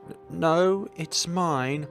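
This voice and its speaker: A man voicing a terrified, painfully shy teenage schoolgirl in a visual novel, stuttering and halting over very few words. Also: fairly high pitch, vocal fry, soft background music, pitched above the performer's own voice, heavily acted. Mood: nervous. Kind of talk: visual novel read-aloud.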